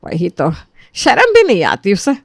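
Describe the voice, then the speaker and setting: A woman's voice: mid-range voice, huge pitch swings, a little slow, creaky, no echo, clean studio sound, exaggerated voice. A woman voicing a suspicious, bossy older mother-in-law in a dramatized family story. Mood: indignant, nosy.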